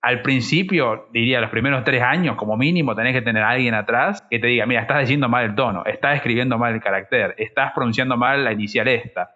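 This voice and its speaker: A man's voice: medium pitch, quick pace, few pauses, bright, forceful tone, dull audio. A man with a Rioplatense accent speaking emphatically in a casual podcast discussion, repeating points and acting out quotes. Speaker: podcast guest sharing experience of learning Chinese.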